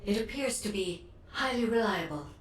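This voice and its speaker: A woman voicing a serene artificial intelligence guardian in a game, polite and measured. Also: medium pitch, expressive, echoey room, distant mic, close to an everyday voice, low-quality recording. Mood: calm, earnest. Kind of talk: scripted game dialogue.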